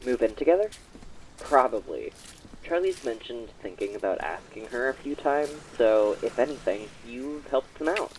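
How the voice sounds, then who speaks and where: A man's voice: medium pitch, lively intonation, normal speed, distant mic, slight background noise, natural voice, poor audio. A man playing a laid-back, matter-of-fact young friend in audio drama dialogue.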